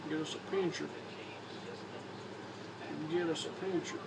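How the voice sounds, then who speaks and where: A young man from Kentucky talks in a Southern accent, his voice a little hoarse from a cold. He rambles in an even, flat tone, restarting his sentences often. He sits back from a camera mic, with steady noise humming behind him. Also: a little high, distant mic. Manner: rambling, halting with repeats and fillers. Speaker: vlogger. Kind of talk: casual talk to camera. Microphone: phone or camera mic.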